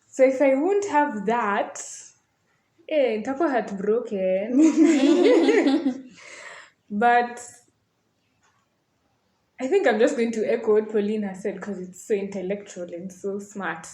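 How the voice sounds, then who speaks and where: A woman's voice: on the high side, takes it slowly, pauses often, dark tone. A woman of about twenty with a Kenyan accent, talking casually as a podcast panelist. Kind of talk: casual group chat. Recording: echoey room.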